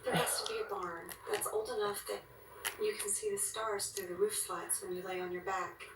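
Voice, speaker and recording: A woman's voice: medium pitch, fairly even intonation, normal speed, roomy sound, close to an everyday voice. An actress playing a fussy, demanding woman who sets exacting terms for her wedding, heard as sitcom sound from a TV. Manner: prim and exacting. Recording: far-off TV audio with background noise.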